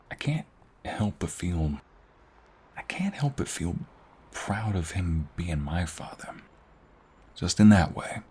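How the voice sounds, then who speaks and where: Casting: male actor for devoted, teasing young husband, intimate ASMR roleplay. Deep register, unhurried, tender and hushed, with gravelly husk. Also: heavily acted. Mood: earnest, reflective. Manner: tender, teasing, hushed, lingering delivery with sighs and soft chuckles. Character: affectionate, teasing young husband, mobster's son on the run.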